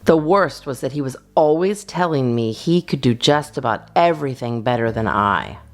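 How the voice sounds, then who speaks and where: Low-pitched, animated woman storyteller. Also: moderate pace. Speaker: storyteller, children's channel host. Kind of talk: expressive scripted storybook narration.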